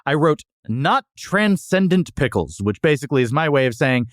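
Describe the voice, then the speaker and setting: A man's voice: on the high side, very animated, moderate pace, no room sound, clean studio sound. A man hosting a podcast, chatting casually about food in an opinionated, jokey way. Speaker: podcast host. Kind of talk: casual food review chat.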